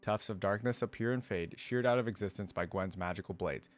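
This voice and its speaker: A man's voice: lowish voice, fairly quick, faint music behind. A man hosting a reaction video, reading story text aloud and adding informal, casual commentary.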